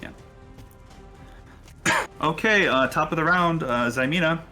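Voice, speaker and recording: Bright-voiced man running a tabletop game. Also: a little high, unhurried, soft background music. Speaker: game master. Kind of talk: narrating a tabletop roleplaying game.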